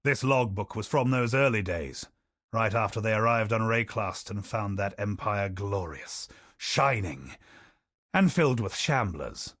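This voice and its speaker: A curious, honor-seeking scholar of an explorer in a video game. His voice rises and falls in lively swings and stays close, with no room sound at all. He keeps slipping in dry, wry asides while recounting old records. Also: fairly low pitch, no room sound. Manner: dry wry asides, measured storytelling delivery. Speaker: expedition companion character. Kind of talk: studio video game voice lines.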